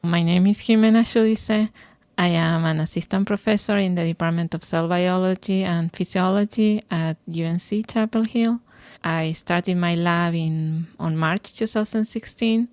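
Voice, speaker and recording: A woman's voice: fairly low pitch, normal speed, darkish tone, dry recording, soft background music. Argentine-accented woman, dry close sound. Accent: Argentine Spanish. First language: Spanish. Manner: thoughtful, with "eh" fillers and restarts. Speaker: guest scientist. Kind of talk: interview answers.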